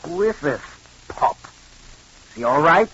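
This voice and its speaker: A man's voice: a little high, sing-song, a little slow, a bit bright, husky, exaggerated voice. A man playing a menacing foreign spy ringleader in an old radio drama, curt, commanding and suspicious, with cold politeness. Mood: irritated. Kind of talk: 1940s radio acting. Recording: dull, noisy 1940s radio recording.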